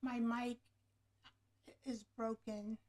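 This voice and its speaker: Soft-spoken woman hosting a slow craft-along. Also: flat delivery. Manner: soft, low-voiced, thinking aloud while working. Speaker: craft show host. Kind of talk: relaxed craft-along livestream. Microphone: camera microphone in front of her.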